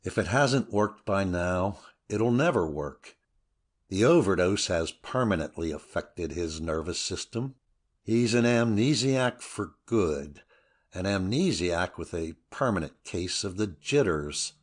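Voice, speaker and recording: A man's voice: sits low, animated, measured pace. A man playing an irate, snappish older doctor in a dramatic audiobook, with emphatic, indignant delivery. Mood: grim, snapping.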